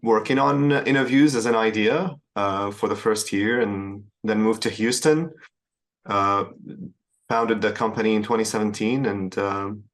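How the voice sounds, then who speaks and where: A man from Syria, a founder answering interview questions in a measured way with frequent hesitations and restarts. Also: fairly low pitch. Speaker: startup founder, guest.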